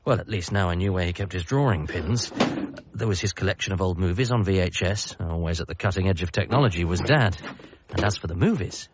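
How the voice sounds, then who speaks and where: An actor playing a wry, wistful British son, narrating a radio comedy drama in the first person with dramatic pauses. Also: male voice, sits low, noisy background, close to an everyday voice. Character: bereaved ordinary son, wry and wistful storyteller. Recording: dull band-limited sound with music behind.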